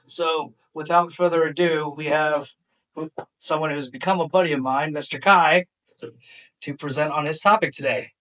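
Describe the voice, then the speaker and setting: A man's voice: a little high, steady tone, normal speed, bright, forceful tone, recorded from a distance, poor audio. Casual man heard from off-mic. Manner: loose, casual, unscripted. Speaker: sponsor representative. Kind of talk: improvised introduction.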